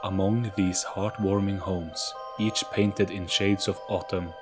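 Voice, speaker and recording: A man reading a scripted spooky storybook in a deliberate, theatrical storytelling manner. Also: low voice, fairly even intonation. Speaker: narrator. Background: music.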